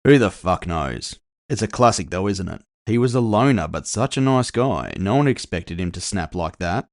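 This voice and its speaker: A worldly, wry guy, voiced by a male narrator, talks frankly in a cyberpunk audio story. His voice sits very deep. He keeps it understated and matter-of-fact, recorded dry. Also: animated, moderate pace, no room sound. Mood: sarcastic. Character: Les, worldly male friend, frank and wryly cynical. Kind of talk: conversational dialogue in cyberpunk audio fiction.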